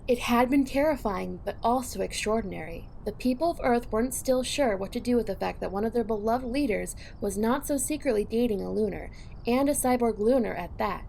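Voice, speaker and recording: A woman's voice: a little high, fairly quick, vocal fry, darkish tone, slight background noise, poor audio. A woman reading scripted third-person narration for an audio drama.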